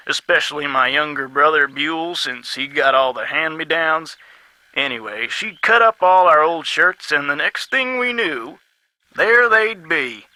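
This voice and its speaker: A man's voice: fairly high pitch, sharp tone, dry recording, heavily acted. An actor playing a folksy, long-winded man with a Southern US accent, rambling in a game. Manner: garrulous, drawling folksy storytelling. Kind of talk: game voice acting.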